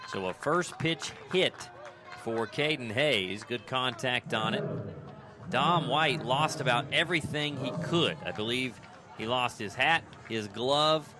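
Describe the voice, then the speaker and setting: An American man doing live radio play-by-play of a baseball game, in clipped descriptive phrases that turn conversational between pitches. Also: animated, unhurried. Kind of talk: live sports commentary.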